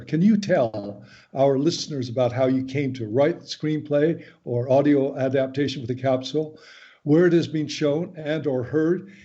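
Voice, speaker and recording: A man's voice: on the high side, warm tone, dull audio. A man hosting a radio talk show, reading a formal introduction of his guest and then asking questions. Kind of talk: hosted radio interview.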